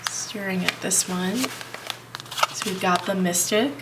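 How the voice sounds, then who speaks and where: A woman with a stuffy cold, reading tarot cards for viewers in a relaxed, reassuring way. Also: medium pitch, a little slow, distant mic, very noisy. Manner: thinking aloud, reassuring, addresses viewers as piles. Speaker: tarot reader. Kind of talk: pick-a-card tarot reading.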